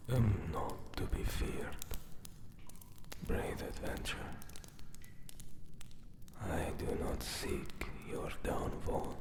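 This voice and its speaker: Guy doing an ancient anubis monster for asmr, really slow and low, breathy, morbid and possessive, roomy echo. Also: heavy background noise. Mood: possessive, tender. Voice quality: breathy, half-whispered. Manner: formal, archaic, slow and menacingly tender. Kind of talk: whispered ASMR roleplay acting.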